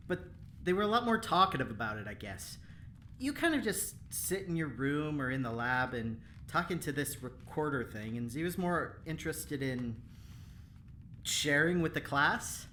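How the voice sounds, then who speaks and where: An actor playing an eager, insecure, chatty engineer in an audio drama, self-conscious and halting in delivery. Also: mid-range voice, pushed and bright, slight background noise. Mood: subdued, rambling.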